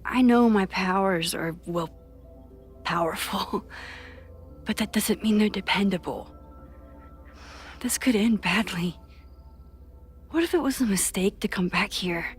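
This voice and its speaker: A woman's voice: mid-range voice, slow pace, pauses often, natural voice. A woman acting an anxious, guilt-ridden young American woman in a video game, with a wry, self-doubting manner. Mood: worried, doubtful. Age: young adult. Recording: music under the voice. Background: game music.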